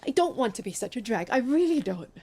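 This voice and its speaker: A woman's voice: on the high side, very animated, fast talker, warm tone. A heartbroken, confessional young woman lover. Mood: upset, anxious. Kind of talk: audio drama monologue and dialogue.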